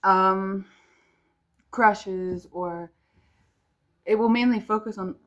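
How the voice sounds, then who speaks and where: A woman's voice: mid-range voice, steady tone, extremely slow. A young woman from South Africa whose first language is Afrikaans, chatting casually to camera at home, rambling with many ums and restarts. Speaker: vlogger. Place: home room with music downstairs.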